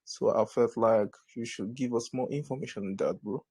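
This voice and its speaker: A man calling in to ask a question, talking casually and excitedly with slang. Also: mid-range voice, moderate pace, breathy edge, no room sound. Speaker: caller asking a question.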